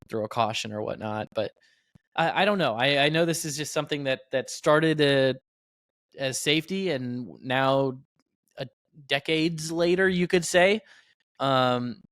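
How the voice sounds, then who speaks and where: Measured man, dry podcast sound. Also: mid-range voice, fairly bright tone, no echo. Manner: measured, hesitant, thinking aloud. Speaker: podcast co-host and professional race car driver. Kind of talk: casual motorsport podcast discussion.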